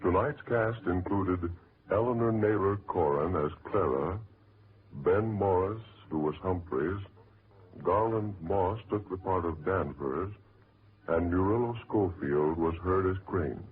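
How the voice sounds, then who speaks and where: An American man announcing a 1940s radio show in a formal, measured, scripted style. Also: sits low. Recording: muffled, noisy 1940s radio recording.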